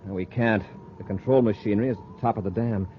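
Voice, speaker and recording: An actor playing a decisive space patrol commander in 1950s radio adventure style, giving brisk orders. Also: male voice, fairly low pitch, fairly even intonation, close to an everyday voice. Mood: tense, calculating. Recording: dull, noisy old radio recording.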